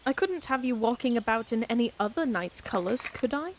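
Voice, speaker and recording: Woman acting a proud, high-voiced young lady knight. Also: animated, fairly quick, a little hiss or hum. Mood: flustered, teasing. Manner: formal, archaic, dryly haughty phrasing. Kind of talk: fantasy audio roleplay acting addressed to the listener.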